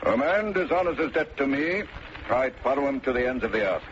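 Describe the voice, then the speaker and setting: A man's voice: mid-range voice, expressive, brisk, close to an everyday voice. Man acting a pompous blustering small-town moneylender, old noisy radio. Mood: haughty, evasive. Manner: pompous, florid, long-winded wording. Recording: dull, noisy vintage radio recording.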